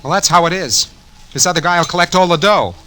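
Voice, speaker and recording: A man's voice: sits high, lively intonation, a little fast, pushed and bright, a little hiss or hum. An actor playing a guilty, nervous schemer who narrates his own crime in hardboiled slang, in 1940s radio drama style. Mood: anxious, resigned. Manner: hardboiled slangy first-person storytelling. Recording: vintage 1940s radio recording, music behind.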